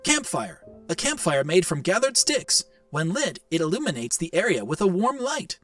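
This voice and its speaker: A man's voice: high voice, sing-song, normal speed, a bit bright, recorded from a distance, faint music behind. A man voicing an electronic encyclopedia device in a video game, reading entries in a formal, informative way. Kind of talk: video game item announcement.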